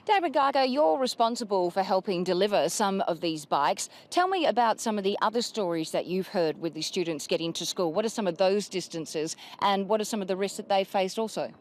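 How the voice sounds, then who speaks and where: A woman hosting a news interview, posing clear, inquisitive questions in a polished broadcast manner. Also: medium pitch, lively intonation, brisk, hardly any pauses, a little mellow.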